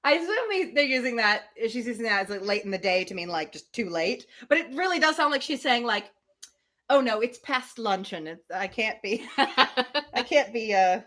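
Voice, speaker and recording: Woman, animated intonation, live book reader who drifts between narration and informal, self-interrupting chat. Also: a little high, normal speed. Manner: chatty, self-interrupting asides to listeners. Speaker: livestream host reading a novel aloud. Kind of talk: live read-aloud of a classic novel with casual asides.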